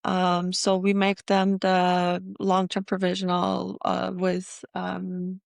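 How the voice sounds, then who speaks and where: A woman from Iran, a dentist guest in an interview, talking casually with many pauses and fillers. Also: on the low side, monotone, gravelly, no echo, clean studio sound.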